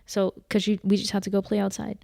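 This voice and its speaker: A young woman from the Netherlands, co-hosting a podcast in relaxed, chatty conversation. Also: medium pitch, quick pace, gravelly, no room sound, clean studio sound. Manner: relaxed, chatty, many fillers. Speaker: podcast co-host. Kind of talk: casual chat.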